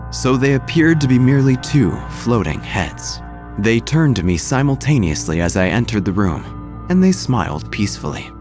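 Lively, low-voiced man narrating comic horror. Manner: wry, theatrical.

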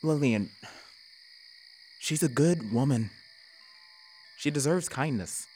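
An actor playing a gentle, patient young tour guide who speaks mildly and softly in an audio drama. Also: male voice, mid-range voice, animated, slow pace, slightly rough, soft background music. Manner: mild, patient and soft-spoken.

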